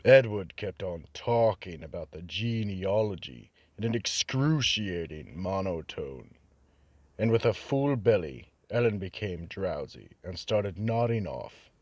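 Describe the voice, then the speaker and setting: A man giving ominous, measured narration for a horror radio show. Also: low voice, lively intonation, darkish tone, dry recording.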